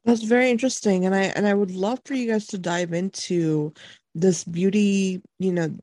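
A woman's voice: mid-range voice, steady tone, normal speed, mellow, dry recording, studio quality. A woman hosting a podcast interview in an informal, effusive way. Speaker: podcast host.

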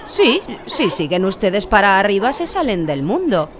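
A woman acts out a folksy, self-satisfied Arctic local in a radio comedy. She is blunt and emphatic, with swooping, lively intonation over faint ambient noise. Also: medium pitch, moderate pace, lightly acted. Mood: matter-of-fact. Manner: colloquial, emphatic, deadpan boasting. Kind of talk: comic radio play acting.

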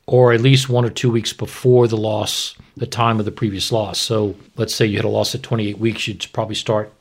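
A man's voice: fairly low pitch, level tone, a little fast, husky. A man speaking as a doctor, explaining medical practice in an informal, plain-spoken, instructive way. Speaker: obstetrician, expert guest.

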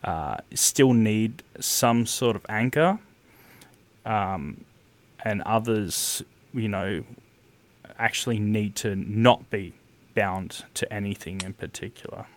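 A man's voice: fairly low pitch, slow pace. A man who produces music, speaking as a guest in a casual interview, rambling and hesitant, apologizing for going off topic. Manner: rambling, hesitant, self-deprecating.

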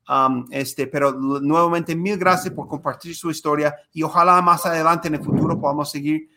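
A man's voice: a little high, fairly even intonation, moderate pace, bright, forceful tone, slight background noise. A man hosting an interview podcast, talking with many hesitations and fillers. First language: Spanish. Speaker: podcast host. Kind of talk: conversational interview.

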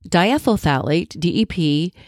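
A woman's voice: lowish voice, lively intonation, a little slow, no room sound, studio quality. Congested nasal-sounding woman host. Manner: friendly and explanatory, with asides. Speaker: podcast host. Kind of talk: solo educational podcast talk.

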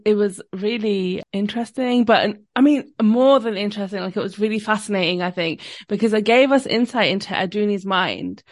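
A woman's voice: medium pitch, fairly quick, hardly any pauses, no echo, studio quality. A woman hosting a book podcast, asking an author questions with enthusiasm and some fillers. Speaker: podcast host. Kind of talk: interview questions.

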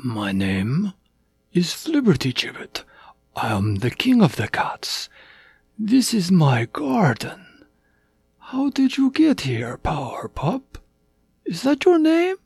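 An adult storyteller voicing a great tiger, a kindly and formal king of cats who speaks deliberately. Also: mid-range voice, wildly swooping pitch, vocal fry, breathy edge, no echo, voice pushed up, full character voice. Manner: formal, deliberate, gently regal.

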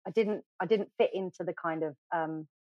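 A middle-aged woman from the UK, a podcast guest telling her story in a chatty, animated way with lots of fillers and restarts. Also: fairly low pitch, moderate pace, no echo. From United Kingdom. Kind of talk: casual storytelling interview. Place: podcast studio. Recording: dry, slightly dull studio sound.

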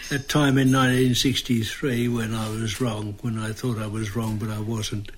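A man's voice: fairly low pitch, flat delivery, normal speed. An Australian man co-hosting a podcast in casual chat, with a teasing, opinionated, joking manner. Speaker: podcast co-host.

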